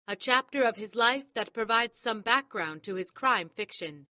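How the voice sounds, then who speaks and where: Woman, announcer, fairly high voice, scripted introductions, dry recording. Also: animated, moderate pace, pushed and bright, no echo.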